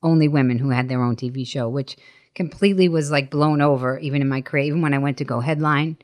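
Low-voiced, fast-talking middle-aged woman. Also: a little mellow. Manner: blunt, emphatic, swearing, acts out quoted dialogue. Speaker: guest, stand-up comedian. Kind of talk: animated anecdotal storytelling in a podcast interview.

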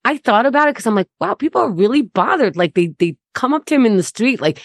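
An American woman in her forties chatting casually on a podcast, emphatic and quick to swear. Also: on the high side, expressive, no room sound, clean studio sound. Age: 40s. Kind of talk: casual chat.

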